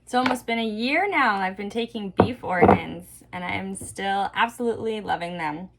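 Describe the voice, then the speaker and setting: Creaky-voiced woman, casual vlogger. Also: mid-range voice, moderate pace, low-quality recording. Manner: informal, chatty, thinking aloud. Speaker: vlog host and mother of young kids. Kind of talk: casual home vlog chat, talking to viewers and kids. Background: music.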